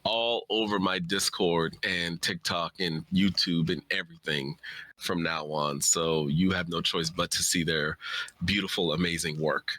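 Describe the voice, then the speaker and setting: A man's voice: low voice, fairly even intonation, a little slow, bright, forceful tone, no echo. A man chatting informally and effusively as a co-presenter on a casual livestream. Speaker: writer and co-presenter.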